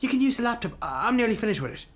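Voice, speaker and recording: Gruff, needling middle-aged Irish father. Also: sits high, big pitch swings, very fast, a bit bright, exaggerated voice. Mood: blunt, nagging. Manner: blunt, sardonic. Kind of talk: naturalistic radio drama acting.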